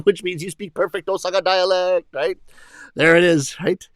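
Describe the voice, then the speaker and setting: Casting note: animated comedian, male, high voice, dry close sound, bursts into put-on shouts. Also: normal speed, no echo. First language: English. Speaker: rakugo comedian. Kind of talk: stand-up storytelling to an audience.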